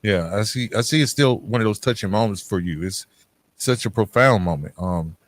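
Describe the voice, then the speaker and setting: A man's voice: fairly low pitch, moderate pace. A man hosting a podcast, interviewing in a casual, informal and slangy way. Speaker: podcast host.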